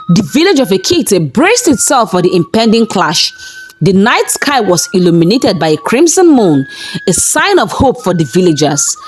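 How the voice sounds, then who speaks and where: A woman storyteller reading a scripted folktale in a measured, dramatic manner. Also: medium pitch. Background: background music.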